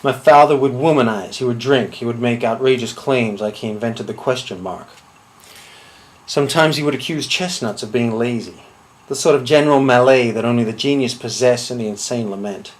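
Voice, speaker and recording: Eccentric male villain, acted by a man: grandly self-absorbed, measured deadpan comedy. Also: medium pitch, voice pushed down, close to an everyday voice. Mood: smug deadpan. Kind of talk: comic monologue.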